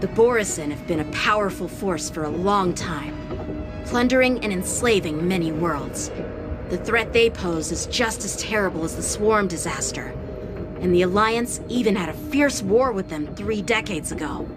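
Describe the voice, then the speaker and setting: An earnest teenage swordsman officer in a game, with a light, boyish voice and crisp, formal phrasing. He is dutiful and courteous, and music plays clearly behind him. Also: high-pitched, expressive, moderate pace. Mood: polite, grave. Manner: formal, articulate, polite. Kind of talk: video game voice acting. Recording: background music under the dialogue.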